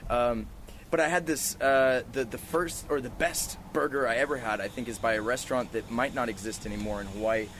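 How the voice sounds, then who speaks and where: A man's voice: medium pitch, fairly quick. A man hosting a podcast in casual, informal chat, telling stories with some swearing, recorded outdoors on a porch. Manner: informal, profane, anecdotal. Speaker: podcast host. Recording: outdoor ambient noise on a porch.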